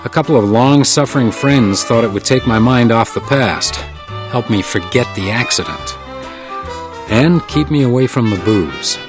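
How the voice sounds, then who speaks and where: A hard-boiled house detective, played by a male actor, weary and wry, in a radio crime drama. He talks in a terse deadpan at a middling pitch, with prominent music underneath. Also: moderate pace, lightly acted. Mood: brooding, wistful. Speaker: narrator and lead character. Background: music, guitar.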